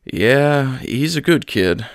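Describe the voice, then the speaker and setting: A man voicing a blunt, plain-spoken churchman who talks in a dry, unhurried way. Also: medium pitch, animated, dry recording, voice pushed up. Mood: matter-of-fact.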